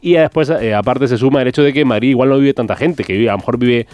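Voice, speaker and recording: A man's voice: mid-range voice, fast, darkish tone, no room sound, faint music behind. A man hosting a casual radio quiz segment, teasing guests with playful jokes and reading out figures. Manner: joking, teasing. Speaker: quiz host.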